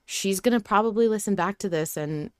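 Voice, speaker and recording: A woman's voice: mid-range voice, a little fast, smooth, no room sound, studio quality. A woman hosting a podcast in casual conversation, talking informally and emphatically with blunt interjections. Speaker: podcast host.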